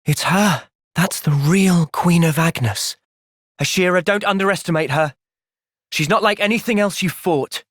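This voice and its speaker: An actor playing an earnest, thoughtful young male hero in a fantasy video game, speaking sincerely and clearly. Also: high-pitched, measured pace, a bit bright, no room sound, clean studio sound. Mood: urgent, intense. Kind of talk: video game cutscene voice acting.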